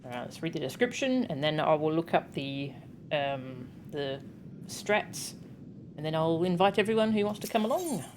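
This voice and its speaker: A woman's voice: sits low, lively intonation, normal speed, a little mellow, slight background noise. A woman game streamer chatting casually to her viewers, informal and given to muttered asides. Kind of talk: casual live stream chat, reading game text aloud.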